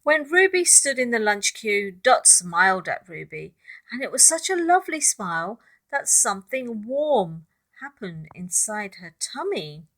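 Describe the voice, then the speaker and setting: Woman storyteller reading slowly and expressively to children. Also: fairly high pitch, big pitch swings, fairly bright tone. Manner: addresses young listeners, points out pictures, asks questions. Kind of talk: expressive read-aloud narration for children with asides.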